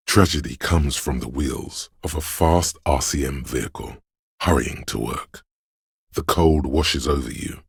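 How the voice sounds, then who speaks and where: A man voicing a brooding, spectral inner voice of a cold, haunted city in a video game, speaking solemnly in literary, atmospheric narration with a gravelly, husky tone. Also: rumbling low, dry recording, studio quality, exaggerated voice. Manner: solemn, ominous, measured.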